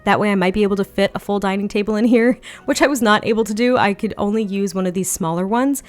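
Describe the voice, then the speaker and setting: A woman giving a chatty voiceover for a home-building video, slightly hoarse and a little raspy, laughing often between rambling asides. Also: medium pitch, fast. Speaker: Sims build video creator. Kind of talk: casual voiceover commentary.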